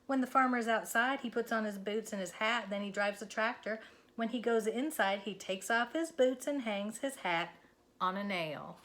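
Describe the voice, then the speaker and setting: A woman's voice: fairly high pitch, moderate pace, a bit bright, slight background noise, lightly acted. A woman voicing a bold, scheming cow with an insistent, explaining manner in a children's storybook. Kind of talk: children's storybook read-aloud.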